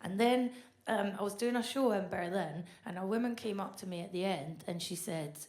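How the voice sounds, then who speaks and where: A Scottish woman doing a blunt, deadpan stand-up set. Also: mid-range voice, moderate pace, echoey room. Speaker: stand-up comedian. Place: comedy club stage.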